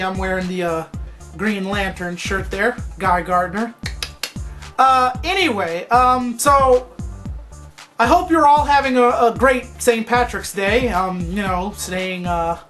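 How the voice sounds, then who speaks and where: A woman's voice: medium pitch, fairly bright tone. A woman chatting casually to viewers as a video host, in an offhand, rambling way.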